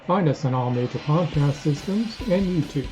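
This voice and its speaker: A man reading a slow, scripted show introduction as a voice-over. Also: a little high, lively intonation, darkish tone. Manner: slow, measured announcing. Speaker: show announcer. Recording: dull lo-fi voice-over over faint music.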